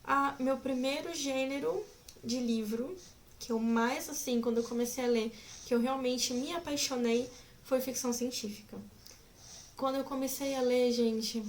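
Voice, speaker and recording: Young woman, twenties, chatty, giggly, rambling, higher voice, faint background hiss. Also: darkish tone. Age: 20s. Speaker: vlogger. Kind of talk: casual chat to camera.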